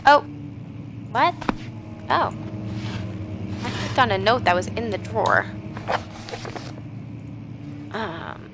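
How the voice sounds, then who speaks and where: A young woman with a raspy voice from a cold, reading game notes aloud and reacting nervously as she plays a horror game on a headset mic. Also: on the high side, lively intonation, takes it slowly, pauses often, poor audio. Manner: reads notes aloud, then jumpy reactive chatter. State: getting over a cold. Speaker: gaming streamer. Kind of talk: horror game let's play commentary. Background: game music.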